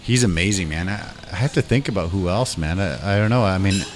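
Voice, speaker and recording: A deep, low-pitched man hosts a podcast in a loose, excitable chat. He talks like a buddy, full of slang and casual swearing. There is some background noise behind him. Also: a little fast. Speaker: podcast host.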